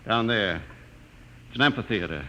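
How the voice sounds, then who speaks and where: An actor playing a musing, earnest expedition scientist fascinated by alien ruins in a 1950s radio drama. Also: male voice, fairly low pitch, expressive, normal speed, bright, forceful tone, full character voice. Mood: pondering. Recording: dull, faintly noisy 1950s radio recording.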